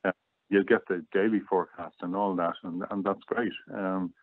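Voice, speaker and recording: A man chatting casually as a guest, explaining things in a measured way. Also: low-pitched, steady tone, no echo, phone-quality sound. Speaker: guest app reviewer. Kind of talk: casual chat.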